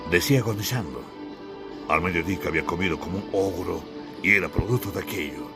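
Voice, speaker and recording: A man's voice: sits low, quick pace. A man from Bolivia narrating his own literary audiobook in a dramatic, theatrical storytelling style. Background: music.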